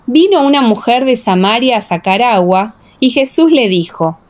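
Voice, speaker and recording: A woman narrating a scripture audiobook in a measured, solemn, scripted reading style. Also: fairly high pitch, expressive.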